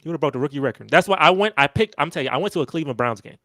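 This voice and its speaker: A man hosting a casual sports podcast, talking in quick, slangy, animated banter. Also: a little high, no room sound, clean studio sound. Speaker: podcast host.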